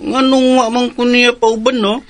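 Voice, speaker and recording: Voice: medium pitch, flat delivery, measured pace, a bit bright, natural voice. An actor playing a childlike, insistent son in a radio drama, with a Cebuano Filipino accent and a naive manner. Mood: naive, questioning. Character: childlike, excitable son with a developmental condition. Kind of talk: Philippine radio drama acting. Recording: music behind the voice.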